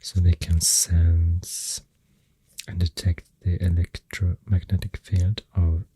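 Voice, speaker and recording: A man with a Swedish accent speaking softly in a halting, half-whispered ASMR ramble, close to the microphone. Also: low voice, monotone. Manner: hushed, breathy, half-whispered. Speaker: ASMR host. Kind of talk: soft-spoken ASMR rambling.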